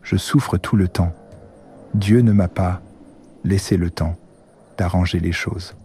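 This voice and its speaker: A man's voice: low voice, fairly even intonation, slow pace, dark tone, faint music behind. A man voicing a weak, sorrowful old man in a cartoon, lamenting plaintively. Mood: sorrowful, bitter. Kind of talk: animated film dialogue.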